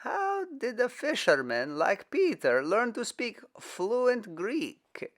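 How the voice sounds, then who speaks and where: A man voicing a young male believer who talks in an excited, emphatic way, in a storybook reading. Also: very high-pitched, slow pace, pitched above the performer's own voice, heavily acted. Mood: earnest.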